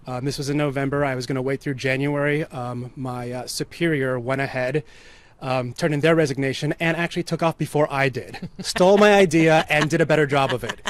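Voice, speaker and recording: A man who grew up in the United States, a writer being interviewed, speaking in a measured way with dry, wry asides. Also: medium pitch, dry recording. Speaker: guest, writer and performer. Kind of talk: casual interview chat.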